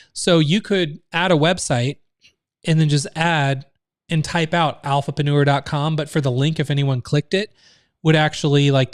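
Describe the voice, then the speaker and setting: A man's voice: on the high side, dry recording, studio quality. A man hosting a live online training, explaining steps in a loose, casual way full of fillers and restarts. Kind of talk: live screen-share training.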